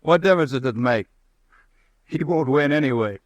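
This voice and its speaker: A man's voice: medium pitch, lively intonation, moderate pace, dry recording, close to an everyday voice. Brash sneering army officer, man acting it, dry close mic. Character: arrogant, mocking young male army lieutenant. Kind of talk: 1950s radio drama acting.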